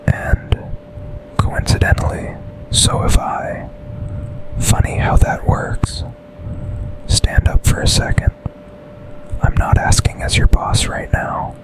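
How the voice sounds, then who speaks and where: Man acting as slow, whispering seductive boss. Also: natural voice, rough recording. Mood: teasing, intimate. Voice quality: breathy whisper.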